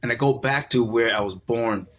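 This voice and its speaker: A middle-aged man from California, a podcast guest talking in a relaxed, reflective way and often repeating words as he searches for them. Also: mid-range voice, normal speed, slight background noise. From California, USA. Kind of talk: casual conversational interview.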